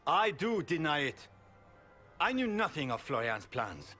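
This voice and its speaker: A man's voice: fairly high pitch, big pitch swings, measured pace, sharp tone, faint music behind, full character voice. An actor playing a proud, scheming nobleman in a video game, aristocratic and accusing in manner. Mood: indignant. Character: proud, indignant scheming nobleman, a Grand Duke.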